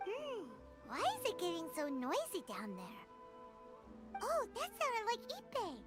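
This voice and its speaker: Tiny fairy sidekick, squeaky child voice, very high, wildly swooping pitch, excitable, cutesy, video game, music behind. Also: female voice, takes it slowly, exaggerated voice. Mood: pleased, curious. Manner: refers to self in third person, excitable, cutesy.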